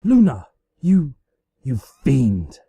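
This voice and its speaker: A man's voice: medium pitch, huge pitch swings, extremely slow, vocal fry, dark tone, slightly rough, no echo, exaggerated voice. A man voicing a playful pony princess with a grandiose, melodramatic and exclamatory delivery in a story reading. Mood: mock-heroic drama. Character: playful, theatrical pony princess mock-heroically defying her attacker.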